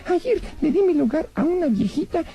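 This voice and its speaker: A man's voice: very high-pitched, expressive, moderate pace, creaky, mellow, voice pushed up, full character voice. A man voicing a cheeky, wisecracking male rabbit puppet who takes everything literally. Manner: literal-minded, jokey, playful.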